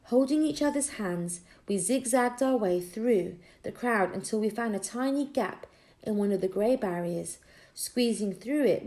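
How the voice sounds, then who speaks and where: A woman narrating a children's audiobook expressively, with deliberate storytelling phrasing. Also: mid-range voice, mellow. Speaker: audiobook reader.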